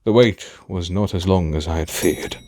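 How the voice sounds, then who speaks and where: A man's voice: low-pitched, moderate pace, dark tone, a little hiss or hum, lightly acted. A man playing a proud, weary aging king and protective father in a fantasy audio drama, speaking with formal, stately diction. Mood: somber narrating.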